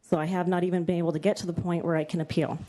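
A woman, a parent, giving an earnest public comment at a hearing, steady with a few pauses. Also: lowish voice. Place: state hearing room.

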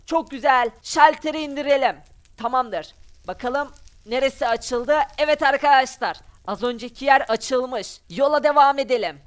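A woman giving playful game commentary, exclaiming and narrating each of her moves aloud. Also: on the high side. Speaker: gaming video player. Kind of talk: playful Minecraft adventure commentary.

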